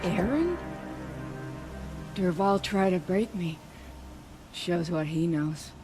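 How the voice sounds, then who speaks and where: An actress playing a tough, blunt warrior big sister in a video game, speaking weakly and haltingly as she dies. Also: on the low side, expressive, over music. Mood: weak, defiant. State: weak, coughing, labored breath.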